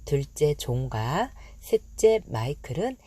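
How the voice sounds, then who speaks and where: A woman narrating a children's storybook from a script, with expressive, dramatized phrasing for young listeners. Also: deep voice, slow pace, slightly rough.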